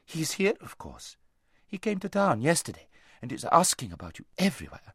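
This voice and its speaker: Man putting on a gushing, high-pitched young society lady. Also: wildly swooping pitch, normal speed, fairly bright tone, breathy edge, dry recording, studio quality, heavily acted. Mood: gushing, languid. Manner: gushing, languid, affected society drawl. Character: vain, lazy-voiced, giggly young noblewoman, loud and careless. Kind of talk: audiobook character voice.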